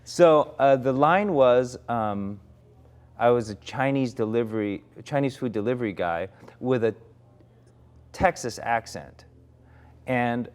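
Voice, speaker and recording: A man's voice: mid-range voice, takes it slowly, a little mellow, clean tone. A man in his forties, an actor, reflecting candidly in conversation, often restarting his sentences. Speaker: actor, interview guest.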